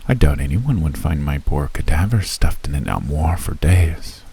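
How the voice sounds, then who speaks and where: Guy doing a creepy-polite elf in his moving house, super low rough voice, lively pitch. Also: moderate pace, a little hiss or hum, exaggerated voice. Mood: teasingly ominous. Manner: genteel, teasing, softly menacing. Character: reclusive elf man, wealthy, mysterious, teasing. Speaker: voice actor. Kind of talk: ASMR roleplay.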